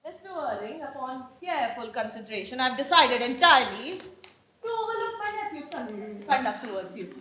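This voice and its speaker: An older Victorian aristocratic aunt, condescending and grandly theatrical. Her high voice swoops widely as she declaims with pompous authority. It is stage comedy, recorded in a roomy, echoing space. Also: sing-song, moderate pace, pushed and bright, slight background noise, heavily acted. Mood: haughty, dismissive. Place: indoor stage in a roomy hall. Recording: echoey amateur stage play recording.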